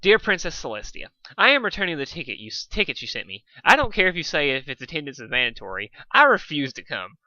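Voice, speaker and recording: A man's voice: fairly high pitch, sing-song, quick pace, few pauses, bright, forceful tone, dry recording, dull audio, heavily acted. A man voicing a blunt, easily flustered young male pony in a storybook reading, emphatic and exasperated. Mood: fed up. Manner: emphatic, protesting.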